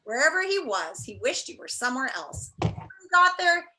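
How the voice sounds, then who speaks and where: A woman's voice: sits high, big pitch swings, moderate pace, bright, forceful tone, slight background noise, rough recording. A woman teacher talking and reading aloud to an online class, enthusiastic and encouraging. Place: indoors, on a video call.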